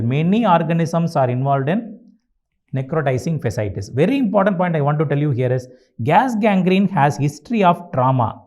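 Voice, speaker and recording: A man with an Indian accent and a fairly high voice teaches as a lecturer. He is didactic, posing questions, answering them himself and repeating the key phrases for his students. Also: darkish tone. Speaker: medical lecturer teaching exam review. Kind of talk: exam-review lecture.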